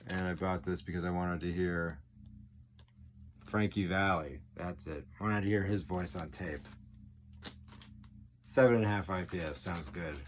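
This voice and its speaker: A low-pitched man talks off the cuff like a collector hosting a homemade video. His delivery is casual and geekily enthusiastic, rambling from one remark to the next. There is audible background noise behind him. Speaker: record collector, video host. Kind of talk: casual show-and-tell, off the cuff.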